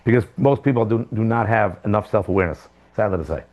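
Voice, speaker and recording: A rabbi giving a lively Torah class in a classroom, asking rhetorical questions with sudden jumps in pitch and loudness. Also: male voice, fast talker, muffled recording. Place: classroom with students.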